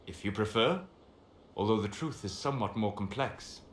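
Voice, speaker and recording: A man's voice: on the low side, normal speed, echoey room, slight background noise, close to an everyday voice. A man playing a composed, scholarly mage in a fantasy video game, articulate, precise and certain. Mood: measured. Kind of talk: dramatic video game acting.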